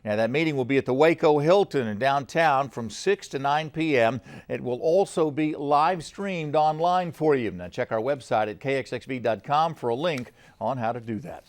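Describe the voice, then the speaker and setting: A man's voice: mid-range voice, moderate pace, hardly any pauses. A man reading scripted television news as an anchor in a studio.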